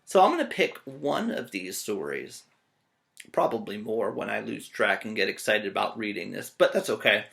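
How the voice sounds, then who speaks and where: A man reading a children's story aloud as a teacher, expressive and full of playful joking asides. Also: fairly low pitch, moderate pace, gravelly.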